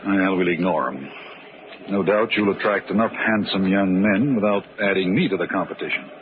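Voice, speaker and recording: Very muffled, jovial low-voiced gentleman played by an actor. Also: normal speed, natural voice. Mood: genial, curious. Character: jovial, self-assured gentleman charmer. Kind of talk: old-time radio drama acting. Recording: very muffled, noisy vintage radio recording.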